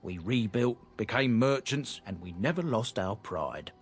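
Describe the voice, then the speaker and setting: A man's voice: fairly low pitch, expressive, a little slow, faint music behind. A man voicing a proud, blunt merchant from a disgraced noble family in a video game. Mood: earnest. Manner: blunt, earnest, plain-spoken. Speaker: game character.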